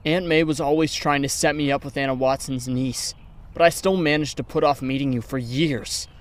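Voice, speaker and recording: A man's voice: mid-range voice, fairly even intonation, moderate pace, close to an everyday voice. Peter, a man voicing an easygoing young guy. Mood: casual. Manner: casual, teasing.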